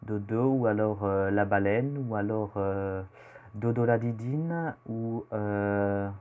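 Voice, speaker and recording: Teen boy, Belgian French accent, hesitant rambling vlogger, lowish voice, dull home-camera sound. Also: slow, clear, resonant tone. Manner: rambling, hesitant with many fillers. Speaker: youtuber. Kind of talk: casual self-introduction vlog.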